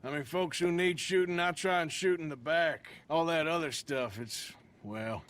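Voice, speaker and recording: A man's voice: moderate pace, close to an everyday voice. A man playing a laconic, dry outlaw. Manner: laconic, dry, often drops low and quiet. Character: weary dry-witted male outlaw. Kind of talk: video game cutscene acting.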